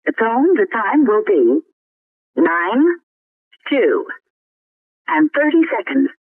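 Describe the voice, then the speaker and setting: A woman announcing the time as a recorded speaking clock, in a measured, formulaic delivery over a telephone line. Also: fairly high pitch, very animated, dry recording. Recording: thin, phone-like time-signal tape.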